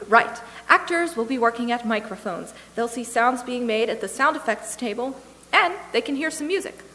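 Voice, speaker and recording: Woman hosting a stage radio show, high voice, scripted intro, echoey hall. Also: expressive, normal speed, pushed and bright. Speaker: presenter. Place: stage in a hall, live audience. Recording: echoey hall reverb, hissy background noise.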